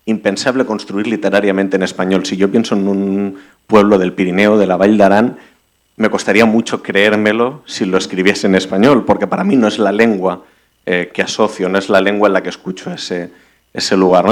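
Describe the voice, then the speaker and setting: A man from Catalonia moderating a literary panel, asking long questions with many restarts. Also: low-pitched. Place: library event hall.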